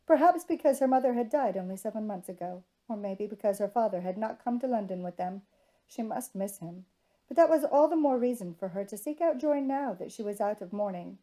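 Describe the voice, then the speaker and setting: A woman's voice: mid-range voice, dark tone. A woman reading scripted fiction narration from a storybook.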